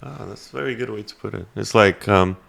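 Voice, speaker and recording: A male podcast host with a low, gravelly voice that drops into fry. He cuts in with short questions and paraphrases in a loose, joking, conversational way. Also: a bit bright. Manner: interjecting, quick to paraphrase and joke.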